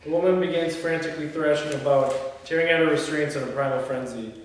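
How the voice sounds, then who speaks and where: Mężczyzna w bardzo pogłośnym pokoju, daleko od mikrofonu. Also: male voice, fairly high pitch, fast. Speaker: script reader at a film table read. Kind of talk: reading screenplay directions, casual group chat. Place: echoey indoor room. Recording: very echoey room, far from mic.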